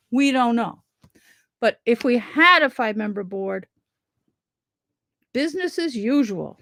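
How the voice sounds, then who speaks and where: A woman's voice: a little high, no room sound, studio quality. A middle-aged woman talking casually to camera from home about a town petition, earnest and rambling, often restarting her sentences. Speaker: local resident promoting a town petition. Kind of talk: casual direct-to-camera talk.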